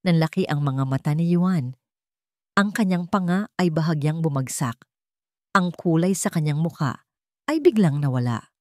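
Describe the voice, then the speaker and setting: A woman with a Filipino accent narrating a scripted dramatic story in a measured, solemn way. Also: low voice, lively intonation, a little mellow, no echo, studio quality.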